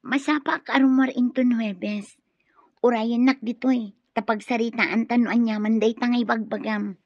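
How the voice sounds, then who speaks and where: A woman's voice: a little high, steady tone, moderate pace, darkish tone, no echo. A woman with an Ilocano accent playing a friendly, trusting young student in a radio drama. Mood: shy, friendly. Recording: dull radio drama sound, music behind.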